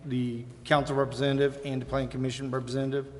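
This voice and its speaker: A man's voice: medium pitch, level tone, fast talker. American man chairing, in a reverberant noisy hall. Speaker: mayor chairing a city council meeting. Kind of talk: informal public meeting discussion. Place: large indoor council chamber. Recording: echoey council chamber with clear noise.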